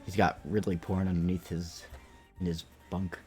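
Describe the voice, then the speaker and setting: A man's voice: low-pitched, little pitch movement, moderate pace, darkish tone, faint music behind. A man in his late twenties chatting casually and playfully as he streams a game, rambling and interrupting himself. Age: late 20s. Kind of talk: casual live gaming commentary and chat.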